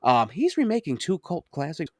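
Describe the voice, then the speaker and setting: A man co-hosting a casual podcast, talking excitedly, laughing often and swearing freely. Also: on the high side, normal speed, no room sound, clean studio sound. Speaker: podcast co-host. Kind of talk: casual chat.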